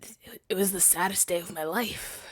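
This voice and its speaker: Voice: mid-range voice, steady tone, moderate pace, fairly bright tone, dry recording. A woman playing a flustered, self-righteous hero in a scripted ASMR audio drama, with a theatrical, stammering delivery. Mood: bewildered. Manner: stammering, indignant, dramatic delivery with breathy whispers.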